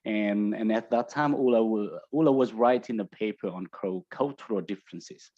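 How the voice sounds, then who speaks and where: A man with a low voice and a Vietnamese accent gives explanatory answers as an academic guest. His delivery is hesitant, with halting phrases. The audio is somewhat dull and lo-fi. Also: darkish tone. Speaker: guest researcher.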